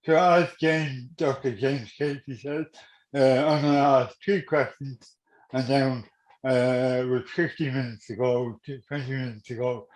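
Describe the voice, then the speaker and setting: A man with a Dublin accent hosting an online interview informally, halting and often repeating his words. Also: mid-range voice, fairly even intonation, a little mellow. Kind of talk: informal introduction and questioning at an online event. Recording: roomy, echoing online call.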